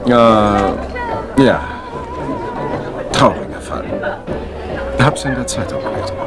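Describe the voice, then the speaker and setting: An actor in a German crime radio play playing a shifty, affable military major who answers breezily and evasively, easily turning defensive. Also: male voice, on the low side, lively intonation, takes it slowly. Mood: guarded, casual. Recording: loud music and crowd chatter behind.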